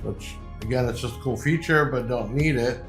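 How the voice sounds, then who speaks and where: A man's voice: medium pitch, normal speed. A man giving a casual, off-the-cuff product review, explaining things informally like a salesman. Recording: echoey room, faint music behind.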